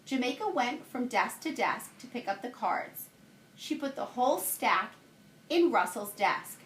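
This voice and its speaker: Female storyteller for a children's picture book. Higher-pitched, very animated read, recorded in an echoey room. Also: measured pace, a little hiss or hum. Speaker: teacher reading a picture book aloud. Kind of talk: expressive children's read-aloud. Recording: roomy, echoey home-style recording.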